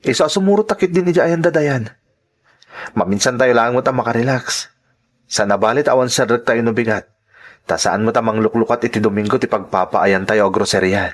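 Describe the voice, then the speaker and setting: A man's voice: mid-range voice, a little fast, natural voice. A man playing a persistent young boyfriend in an Ilocano radio-style drama, speaking in a casual, cajoling way. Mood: coaxing.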